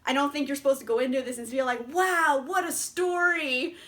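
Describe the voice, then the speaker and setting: A woman's voice: high-pitched, steady tone, brisk, bright, forceful tone. A young woman casually reviewing books in a chatty vlog, laughing often and swearing freely. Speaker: book reviewer.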